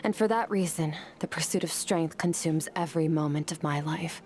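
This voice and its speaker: A woman playing a stoic young swordswoman in a video game, somber and driven, speaking in a grave, measured way. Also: deep voice, a little mellow, soft background music, natural voice. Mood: somber, fearful. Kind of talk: scripted video game voice acting.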